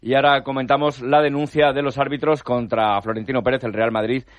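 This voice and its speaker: A man giving the sports news on radio, measured, with frequent hesitant fillers. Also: medium pitch, steady tone, slight background noise. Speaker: sports reporter. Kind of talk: radio sports report and chat.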